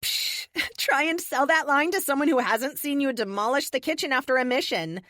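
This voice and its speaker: A woman voicing a wry, teasing, sharp-tongued superheroine in an audiobook. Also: sits high, animated, normal speed, dry recording, studio quality, voice pushed up. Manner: wry, mocking.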